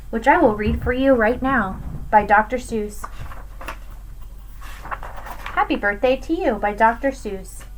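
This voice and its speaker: A woman's voice: high-pitched, low-quality recording. A woman in her thirties, a teacher, reading a rhyming children's picture book aloud expressively to students. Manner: animated, addressing children. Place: small home room with bookshelves. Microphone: webcam at desk distance.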